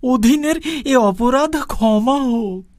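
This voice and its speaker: A man voicing a frail, humble elderly court poet in a historical cartoon, speaking slowly and formally. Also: very high-pitched, animated, darkish tone, heavily acted. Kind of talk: animated cartoon voice acting.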